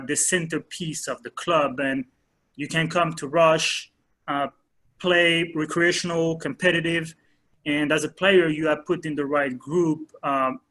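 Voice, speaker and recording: A man in his thirties from France, a soccer coach answering interview questions in a hesitant way with many pauses and fillers. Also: on the high side, sharp tone, poor audio.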